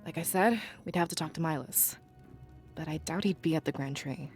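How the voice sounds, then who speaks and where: A woman's voice: low-pitched, brisk, a little mellow, soft background music. An actress voicing a resolute, shrewd woman advisor in a fantasy visual novel, speaking firmly and argumentatively. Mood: matter-of-fact.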